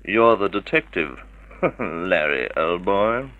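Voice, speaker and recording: A man playing a jealous, menacing figure who hides behind smooth, sardonic sociability in a vintage radio drama. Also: low voice, lively intonation, slow pace, phone-quality sound. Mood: falsely jovial. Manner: forceful, sardonic, falsely jovial.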